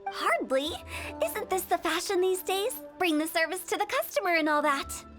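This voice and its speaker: An actress playing a cheeky, morbidly upbeat young funeral director in a video game, with breezy, theatrical sales patter. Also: female voice, very high-pitched, expressive, moderate pace, music in the background. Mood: salesy, chipper.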